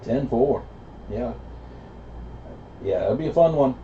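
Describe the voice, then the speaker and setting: A man's voice: mid-range voice, animated, slow pace. A middle-aged man chatting casually as an operator over CB radio. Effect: CB radio transmission. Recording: muffled CB radio reception, loud static noise.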